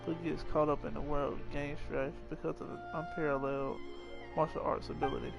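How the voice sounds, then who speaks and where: Creaky-voiced man reading game text aloud. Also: a little high, level tone, over music. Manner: halting reading with stumbles and self-corrections. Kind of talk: casual read-aloud gameplay commentary.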